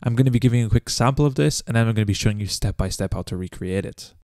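A man presents a step-by-step software tutorial in a slightly low, smooth voice. He moves along quickly and speaks crisply. The recording is close and dry, with no room sound. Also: dry recording. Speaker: tutorial host.

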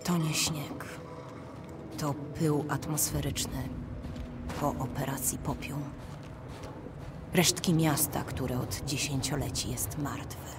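A woman's voice: sits low, steady tone, slow, pauses often, background noise. A woman playing a grim, resolute veteran soldier and mother in a video game, speaking tersely and seriously. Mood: somber, guarded. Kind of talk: dramatic video game acting.

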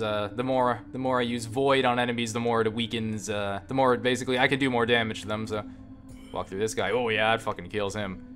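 A man giving casual, unscripted video game commentary, enthusiastic and sweary, often halting and repeating words as he rambles. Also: fairly low pitch, a bit bright. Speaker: video game reviewer and player.

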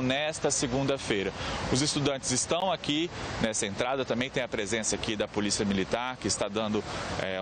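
Brazilian guy reporting live from outside, medium pitch, clear street noise behind him. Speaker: TV news reporter. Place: outdoors on a campus road. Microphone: handheld reporter microphone. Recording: clear street noise, outdoor traffic ambience.

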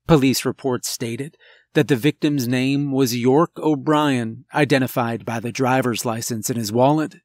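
A man narrating a scripted horror story in a deliberate, dramatic way with pauses. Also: medium pitch, no room sound, clean studio sound. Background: background music.

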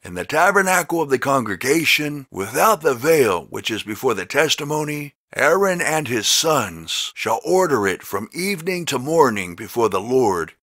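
A man reading scripture as a scripted narration, slowly, with deliberate emphasis on the words. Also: medium pitch, big pitch swings, fairly bright tone, no echo, clean studio sound. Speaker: Bible narrator.

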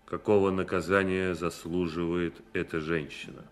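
A man's voice: sits low, flat delivery, normal speed, faint music behind, natural voice. An actor playing a grave, bitter nobleman who passes judgement in a solemn, commanding manner in a radio drama. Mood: grave, stern. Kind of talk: 1990s Russian radio drama acting.

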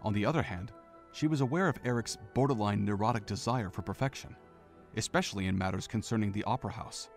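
A man narrating a fan audio drama in a steady storytelling manner, with faint music behind. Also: lowish voice, quick pace. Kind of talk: scripted narration.

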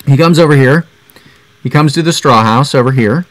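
A man's voice: medium pitch, normal speed, dry recording, poor audio. A young man reading a picture book aloud expressively, with casual asides. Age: young adult. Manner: expressive, dramatizing the story. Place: room at home, indoors.

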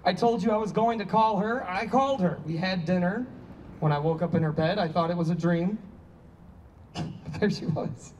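A man's voice: high-pitched, normal speed, a little mellow, dull audio, natural voice. A man in a staged play reading, playing a flippant, needy friend with an evasive charm. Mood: wistful. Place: outdoor stage. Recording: distant outdoor stage pickup, background noise.

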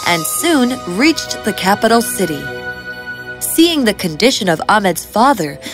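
A woman reading a scripted fairy tale as its narrator. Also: mid-range voice.

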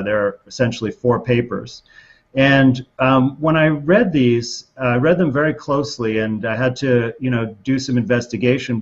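A middle-aged man explaining something methodically as an interview guest, in a measured way, over a video call. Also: medium pitch. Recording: slightly dull video call audio.

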